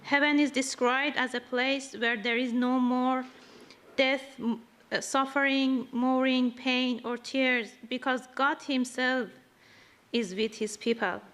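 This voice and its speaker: A woman not speaking her first language gives a slow, earnest testimony at church, reading carefully from prepared notes. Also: high voice, fairly even intonation, fairly bright tone. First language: not English. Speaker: church member giving a testimony.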